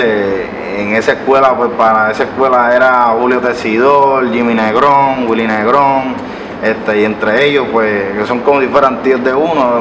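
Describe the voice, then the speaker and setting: A Puerto Rican man in his thirties tells his story slowly, stopping often and starting his sentences over. He speaks at a mid pitch. His voice carries the echo of a studio set and reaches a camera mic placed some distance away, with a steady hiss of noise behind it. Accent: Puerto Rican Spanish. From Puerto Rico. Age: 30s. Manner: rambling, many hesitations and restarts. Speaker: barber and business owner, guest. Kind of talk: relaxed storytelling interview answers. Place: living-room style studio set. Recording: roomy sound from a distant mic with background noise.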